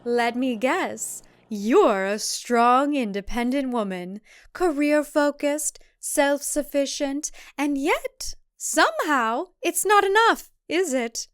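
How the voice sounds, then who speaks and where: Woman putting on a slow, sugary cult promoter. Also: high-pitched, animated, no room sound, clean studio sound, voice pushed up. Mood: saccharine, chirpy. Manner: slow, exaggerated, sugary salesmanship. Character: chirpy, saccharine female cult leader in promo video.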